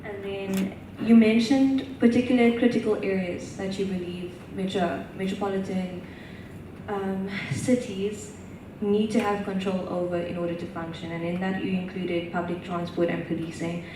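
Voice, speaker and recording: A woman's voice: medium pitch, level tone, moderate pace. A woman student asking a long, carefully built question from the audience at a conference. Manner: careful, detailed multi-part questioning. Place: large conference hall. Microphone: distant microphone. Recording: echoey hall, distant mic, noisy.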